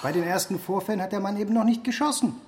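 A man's voice: high-pitched, very fast, darkish tone, a little hiss or hum. An actor playing a dismissive, officious male chief police inspector in a radio drama, lecturing and condescending.

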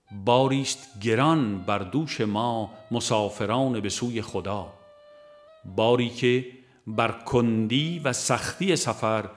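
A man reads scripted devotional prose in a mid-pitched voice. His delivery is solemn and measured, set out in short phrases. Soft instrumental music plays behind him. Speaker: audiobook reader.

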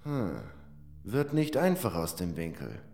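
A man's voice: fairly low pitch, sing-song, unhurried, warm tone, reverberant, soft background music. A man playing a practical hunter and archer in a fantasy audio drama, dry and matter-of-fact. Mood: focused.

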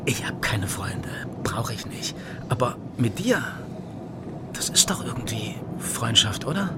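An actor playing an embittered, conspiratorial former East security officer in a radio crime drama, blunt and pressing. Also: male voice, mid-range voice, sing-song, unhurried, whispered. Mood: confiding, pressing. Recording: loud car interior noise.

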